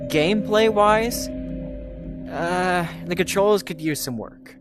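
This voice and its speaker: A man hosts a casual game review. He talks slowly and hesitantly in a medium-pitched voice, rambling without a script. Music plays clearly behind him. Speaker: gaming video host.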